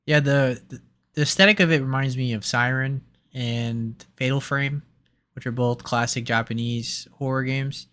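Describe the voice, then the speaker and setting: A man's voice: medium pitch, fairly even intonation, moderate pace, fairly bright tone. A man co-hosting a gaming podcast, chatting casually and enthusiastically with stumbling restarts. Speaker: podcast co-host. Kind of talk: casual chat.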